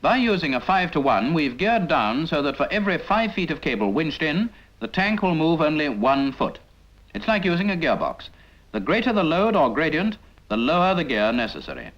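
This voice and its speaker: Lively 1950s British training-film narrator, male. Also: fairly high pitch, normal speed. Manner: didactic, addresses the trainee with rhetorical questions. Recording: dull 1950s film soundtrack.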